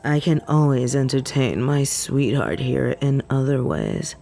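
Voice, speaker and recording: A woman's voice: rumbling low, sing-song, measured pace, vocal fry, dark tone, slight background noise, voice pushed down, heavily acted. A woman voicing a cocky, flirty female werewolf in a roleplay audio drama, possessive and teasing with a drawl. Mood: seductive.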